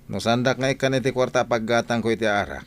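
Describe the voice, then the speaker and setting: An actor playing a sly, casual, hard-drinking village son in a radio comedy drama. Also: male voice, mid-range voice, fast talker, close to an everyday voice. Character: Baldo, hard-drinking village son who pilfers chickens.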